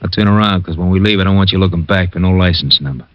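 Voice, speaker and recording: An actor playing a menacing gangster in an old radio drama, making tough, clipped threats. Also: male voice, low-pitched, fast, slight background noise, close to an everyday voice. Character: menacing male gangster with a gun. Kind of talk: 1940s radio acting. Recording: dull vintage radio recording.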